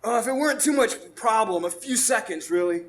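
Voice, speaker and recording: A man's voice: high voice, lively intonation, fairly quick. An actor playing a jolly, absent-minded pirate henchman in a live radio drama, flustered and rambling as he repeats himself.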